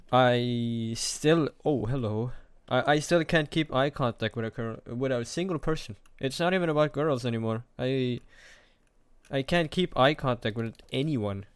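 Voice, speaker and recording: A young man in his late teens talking alone to the camera in a hesitant, halting, self-deprecating way, as a confessional vlog. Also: mid-range voice, smooth, dry recording. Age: young adult. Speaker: vlogger.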